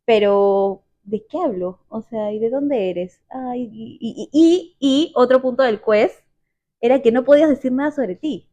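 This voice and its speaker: A woman from Peru with a Peruvian accent, telling a personal story in casual chat, animated and quick to repeat words. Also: on the high side, highs cut off. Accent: Peruvian Spanish.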